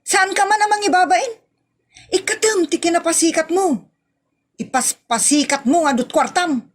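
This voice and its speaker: High-pitched scolding wife, played by a woman. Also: normal speed. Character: scolding, scornful wife nagging her bragging husband. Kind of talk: comedy audio drama acting.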